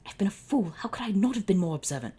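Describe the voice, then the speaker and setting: A woman voicing an anguished ancient male pharaoh in a breathy, half-whispered plea, pitched well above her own low voice. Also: animated, fast, warm tone. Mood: distressed.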